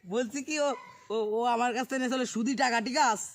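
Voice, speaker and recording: A man making prank phone calls, brash and needling in loud, excited chatter, as host of the show. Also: very high-pitched, quick pace, no echo, clean studio sound. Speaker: prank caller and channel host.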